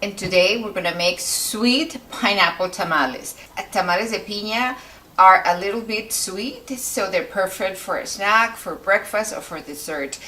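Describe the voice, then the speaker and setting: A woman's voice: medium pitch, normal speed, sharp tone, far from the mic. A woman from Mexico with a Mexican accent, a native Spanish speaker, hosting an informal home recipe demonstration. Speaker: home cooking video host. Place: kitchen.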